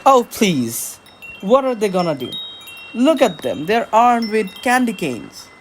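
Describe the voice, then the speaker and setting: Cocky, wildly animated spaceship captain. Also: male voice, high voice, measured pace, slight background noise. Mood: smug. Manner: smug, boastful. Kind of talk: sci-fi comedy audio drama acting.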